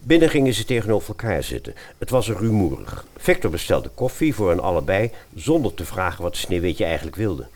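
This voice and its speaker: A man narrating a scripted story in an expressive storytelling style. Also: deep voice, fairly quick, hardly any pauses.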